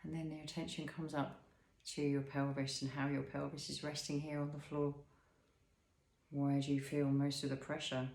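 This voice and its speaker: Creaky-voiced man guiding relaxation, roomy sound. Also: on the high side, monotone. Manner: soothing, unhurried, with pauses and gentle questions. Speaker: Pilates instructor leading a guided body scan. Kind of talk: guided relaxation instruction.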